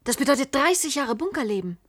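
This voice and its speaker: An actress playing a skeptical, reserved scientific assistant in a German radio play, speaking in a doubtful, hesitant manner. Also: female voice, high voice, sing-song, fast, fairly bright tone, no echo, studio quality. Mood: doubtful, dismissive.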